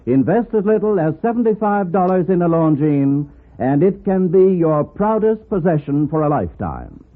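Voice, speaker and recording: A man's voice: on the high side, very animated, moderate pace. A man reading a formal 1950s radio advertisement with polished, stately salesmanship. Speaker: commercial announcer. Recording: muffled, narrow old radio recording.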